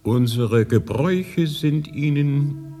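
An actor playing an ancient, frail High Lama in a radio drama, soft and courteous with a slightly melancholic manner. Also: male voice, slow, faint music behind. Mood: gentle, confiding. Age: older. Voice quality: soothingly soft. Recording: long hall reverb.

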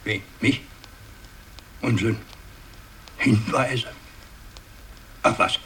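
An actor playing an imperious, scheming old aristocratic patriarch in a radio drama, declamatory and halting, moving between command and muttering. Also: male voice, mid-range voice, sharp tone, slight background noise, poor audio. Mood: evasive, blustering. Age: older. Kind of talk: 1960s German radio drama acting.

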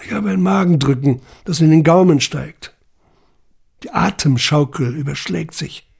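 A man's voice: on the high side, a little slow, gravelly, husky. A man delivering scripted first-person literary narration in an intense, brooding manner.

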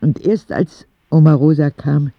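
A man's voice: on the high side, a little slow, no echo, highs cut off. A man playing a candid, eager young boy who narrates his story confidingly in a radio drama. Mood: candid, excited.

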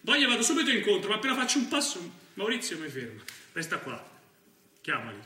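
An actor playing an anxious young Roman militant in a theatrical monologue, halting and colloquial, with a Roman accent. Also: male voice, sits high, wildly swooping pitch, bright, forceful tone, full character voice. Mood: nervous. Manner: halting, repeating himself, colloquial. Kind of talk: theatrical first-person stage monologue. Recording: roomy stage sound, distant mic, faint noise.

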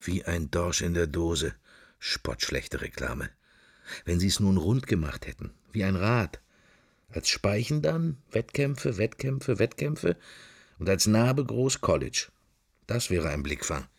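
A middle-aged everyman lost in his own wry thoughts. His very low voice is close and dry, moving through clipped, wandering fragments as intimate radio drama monologue. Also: animated, slow pace, creaky, husky, no room sound, heavily acted. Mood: idle, amused.

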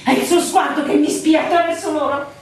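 An actress in a radio drama playing an anguished, devoted lover, impassioned, plaintive and fearful. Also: female voice, sits high, steady tone, a little fast, a little hiss or hum, natural voice. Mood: anxious. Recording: echoey, distant, music behind.

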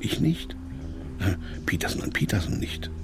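An actor playing a bewildered, panicky and indignant captive's inner voice in a radio drama. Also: male voice, moderate pace, whispered, lightly acted. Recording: music behind the voice.

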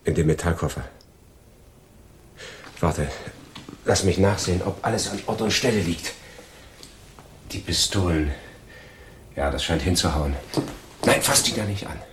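Deep, gloating crook. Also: male voice, a little slow, pauses often, noisy background. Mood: businesslike. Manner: sardonic, mock-polite, lecturing. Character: gloating, envious male crook, betraying his friend. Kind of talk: German radio crime drama acting.

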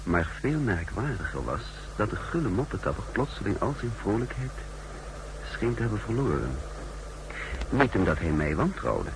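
Gravelly, low-voiced secret agent. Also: male voice. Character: cool, calculating male secret agent recounting his mission. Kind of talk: 1960s radio drama narration. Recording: hissy 1960s radio recording.

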